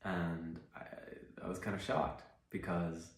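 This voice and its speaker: Deep-voiced man, echoey room. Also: level tone, unhurried. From Iceland. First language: Icelandic. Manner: reflective, rambling storytelling with many fillers. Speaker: musician and songwriter. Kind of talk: casual livestream chat between songs. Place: living room.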